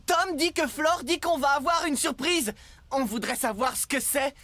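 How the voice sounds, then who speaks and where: A woman's voice: high voice, animated, normal speed, no room sound. A woman voicing a brash, boastful young male pickup truck in a children's cartoon, eager and impatient. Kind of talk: French children's cartoon dub. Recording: loud ambient noise behind.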